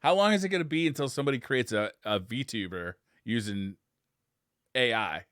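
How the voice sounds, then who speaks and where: A man's voice: medium pitch, animated, moderate pace, a bit bright, clear, resonant tone, no room sound, clean studio sound. A man co-hosting a podcast in a casual, conversational style. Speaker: podcast co-host.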